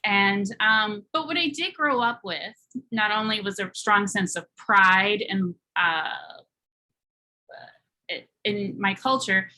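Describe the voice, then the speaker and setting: A woman's voice: medium pitch, slow, sharp tone. An American woman artist talking on a panel, earnest and reflective, with many fillers and restarts. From United States. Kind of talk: panel talk presenting her art.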